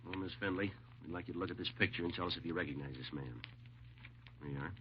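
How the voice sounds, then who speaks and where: An actor playing a no-nonsense, deadpan police detective in a 1950s radio crime drama, speaking in clipped, matter-of-fact lines. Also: male voice, low voice, fast talker, a little hiss or hum, close to an everyday voice. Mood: businesslike, calm. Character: no-nonsense male police detective, clipped, unemotional, dryly sardonic. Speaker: narrator and lead detective. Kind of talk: 1950s radio police drama acting, terse report-like narration. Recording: muffled old 1950s radio recording.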